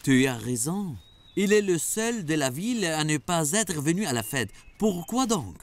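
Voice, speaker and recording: Man playing an animated, deferential cartoon townsman. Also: fairly high pitch, unhurried. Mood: gossipy. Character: deferential, tale-bearing townsman informing his master. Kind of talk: dubbed religious cartoon acting.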